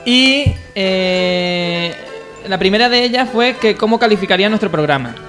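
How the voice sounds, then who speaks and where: High-voiced man from Spanish comedy radio. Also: lively intonation, normal speed, a bit bright. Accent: Spanish from Spain. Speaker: radio show co-host presenting a listener segment. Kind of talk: casual comedy radio chat. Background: faint music.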